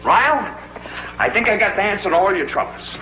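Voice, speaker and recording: An actor playing a brash, wheedling American neighbor in a 1950s sitcom, insistent and quick to dodge work. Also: male voice, sits high, very animated, pushed and bright, full character voice. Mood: eager, pushy. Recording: faintly noisy 1950s television soundtrack.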